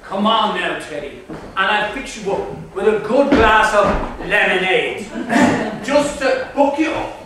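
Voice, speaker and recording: A man's voice: high-pitched, expressive, slow. A man playing a hearty party guest. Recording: echoey hall, far mic, noise.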